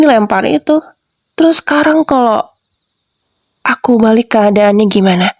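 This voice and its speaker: A woman's voice: mid-range voice, no room sound, close to an everyday voice. A woman voicing a sinister young wife who whispers and giggles as she taunts the husband she has drugged. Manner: soft, teasing, breathy whispers with giggles. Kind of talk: whispered roleplay audio drama.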